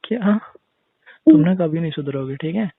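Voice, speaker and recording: A young man with a slightly high voice talks casually over a thin, phone-like line. He sounds like a boyfriend in a private chat, insistently questioning and adding tag questions. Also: lively intonation, normal speed, gravelly, dry recording. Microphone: phone call. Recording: narrow-band tinny phone call.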